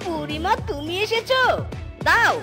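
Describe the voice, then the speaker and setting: Bumbling blind guy who brags and swears, very high voice, done by a woman, music behind. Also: lively intonation, measured pace. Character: blind boastful young male guard.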